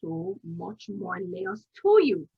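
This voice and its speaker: A woman hosting a casual interview, gently leading her guest with questions and soft laughs over a video call. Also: medium pitch, big pitch swings, slow, gravelly, breathy edge. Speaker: podcast host. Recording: dull lo-fi call audio.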